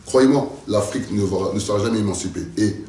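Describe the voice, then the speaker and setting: A man's voice: fairly low pitch, quick pace, warm tone, echoey room, recorded from a distance. A man from France answering interview questions in an emphatic, repetitive, speechlike way. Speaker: local political activist and candidate.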